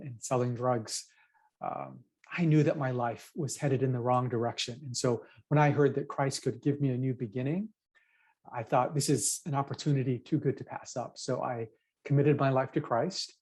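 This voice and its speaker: A middle-aged man from Japan, a pastor and author, answers interview questions. He tells his story in a measured, reflective way. His voice sits at an ordinary middle pitch. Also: fairly even intonation, darkish tone, husky.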